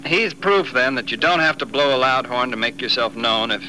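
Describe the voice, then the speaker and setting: A man's voice: medium pitch, moderate pace, bright, forceful tone, a little hiss or hum, poor audio. A man acting a polite, cheerful young suitor in an old-time radio drama, courteous and upbeat, on a vintage recording. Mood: attentive.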